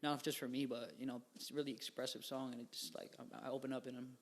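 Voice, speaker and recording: Young man, twenties, podcast guest, rambling, slangy, middle-pitched voice. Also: little pitch movement, fast, vocal fry. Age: 20s. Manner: rambling, filler-heavy, laid-back slang. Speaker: guest musician. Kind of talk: casual podcast interview.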